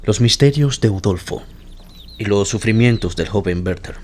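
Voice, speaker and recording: An actor playing a polite, admiring gentleman doctor in a radio drama, attentive and gently probing. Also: on the low side, animated, normal speed, slight background noise, close to an everyday voice. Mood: charmed, genial.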